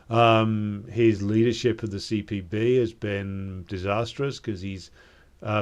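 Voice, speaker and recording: A man hosting a live stream, talking casually and dryly with many hesitant fillers as he answers viewers' chat. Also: on the low side. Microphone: microphone on a swivel arm.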